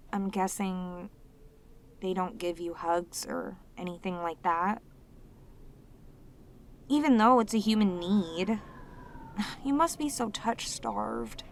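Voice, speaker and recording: A woman playing a sweet, clingy demoness in a romantic audio roleplay, speaking tenderly and haltingly with intense devotion. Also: mid-range voice, slight background noise. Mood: tender, pleading. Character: sweet, devoted, clingy yandere demoness, long sealed away.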